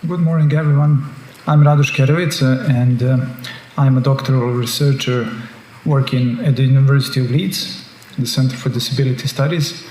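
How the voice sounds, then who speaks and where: A man from Serbia, a doctoral researcher giving a prepared academic lecture by video call in a measured, formal way. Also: mid-range voice, fairly even intonation, darkish tone. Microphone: joining by video call. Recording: echoey call audio with faint noise.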